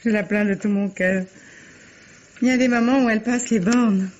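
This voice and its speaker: Actress as high-voiced, arch lady novelist, old radio. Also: fairly even intonation, normal speed, a little mellow, close to an everyday voice. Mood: exasperated. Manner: formal, arch, theatrical diction. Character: self-assured, teasing lady novelist, sharp-tongued wife. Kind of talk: 1950s French radio drama acting. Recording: dull, muffled old radio recording.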